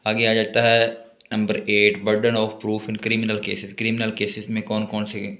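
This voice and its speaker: A man with a Pakistani accent giving a law lecture, alternating deliberate reading of legal text with explanations in his own words. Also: lowish voice, completely flat delivery, a bit bright. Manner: switches between slow, deliberate reading in English and quicker explanation.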